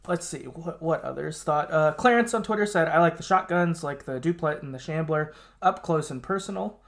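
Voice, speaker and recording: A man's voice: a little high, normal speed, creaky. A man hosting a casual gaming podcast, talking informally and often qualifying what he says. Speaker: podcast host.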